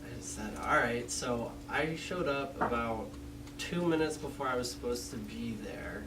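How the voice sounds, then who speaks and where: A man's voice: medium pitch, a little slow. A man tells a story about an interview in an easygoing, casual way, and his sentences often fade out toward the end. He sounds far from the mic, with a constant hum behind him. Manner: relaxed anecdotal, trails off quietly. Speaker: student internship panelist. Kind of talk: storytelling on a panel. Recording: distant mic with steady hum.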